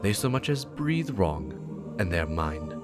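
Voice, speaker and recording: A man voicing a threatening male gunslinger with an unhurried manner in a dramatic story reading. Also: sits low, very animated, over music. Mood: quiet menace. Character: menacing, unhurried male gunslinger giving a warning.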